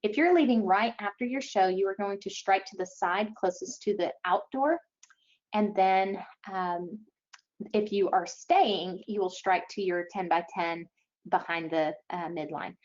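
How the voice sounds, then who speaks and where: A woman giving an explanatory webinar briefing as an event organizer, speaking haltingly with frequent pauses. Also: mid-range voice, darkish tone. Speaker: event organizer presenting to schools. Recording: dull, lo-fi online webinar audio.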